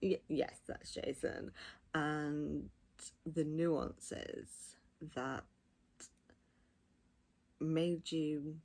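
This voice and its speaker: A woman giving a casual, unscripted review of audiobooks, gushing and emphatic, speaking in short, halting phrases. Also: sits low, fairly even intonation, darkish tone.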